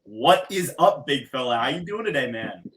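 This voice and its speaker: A man's voice: medium pitch, animated, moderate pace, fairly bright tone, slight background noise. A man hosting an interview podcast with an emphatic, theatrical delivery that draws out words like an announcer. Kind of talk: showy guest introduction and casual interview talk.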